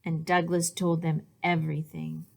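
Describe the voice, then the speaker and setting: A woman's voice: low voice, flat delivery, moderate pace, vocal fry. A woman narrating a children's storybook as a read-aloud. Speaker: storybook reader.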